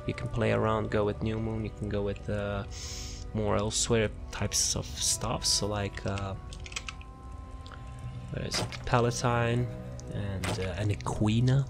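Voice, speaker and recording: A man with a fairly low voice and a creaky, fry-laden edge gives an off-the-cuff gaming guide. He rambles through options and doubles back on words as he searches, with light music playing behind him. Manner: rambling, repeats words and phrases while searching. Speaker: video game build guide creator. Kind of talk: casual, unscripted game build walkthrough commentary. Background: background music.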